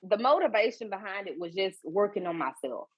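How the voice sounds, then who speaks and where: A woman, a guest on a podcast, talking in a relaxed, casual way with repeats and restarts. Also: mid-range voice, fairly quick, husky, dry recording, highs cut off. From Georgia, US. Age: 30s. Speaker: guest, fitness coach.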